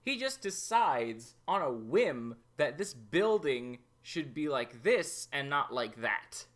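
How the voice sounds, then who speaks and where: A man delivers episode commentary as the host of a review show. His voice is bright and quite animated in pitch, yet he takes his time, laying out opinions slowly and deliberately.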